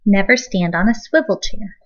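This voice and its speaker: A woman's voice: mid-range voice, normal speed, highs cut off. A woman casually prompting and correcting a young reader during a read-aloud, in short remarks. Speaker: adult helper coaching a young reader.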